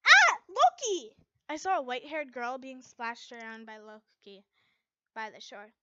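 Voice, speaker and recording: A young adult woman narrating a fan fiction story in an expressive amateur style, recorded up close at home. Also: a little high, moderate pace, highs cut off, slight background noise.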